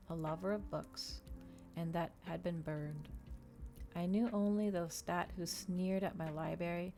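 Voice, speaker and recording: Female ASMR narrator for fiction, lowish and very soft-toned, faint music underneath. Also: creaky. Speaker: streamer reading a novel aloud. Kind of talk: ASMR audiobook-style reading.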